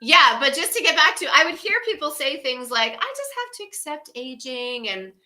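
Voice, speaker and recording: A woman's voice: high-pitched, sing-song, normal speed, sharp tone. A woman in her fifties co-hosting a wellness livestream, talking in a casual, effusive way and laughing often. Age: 50s.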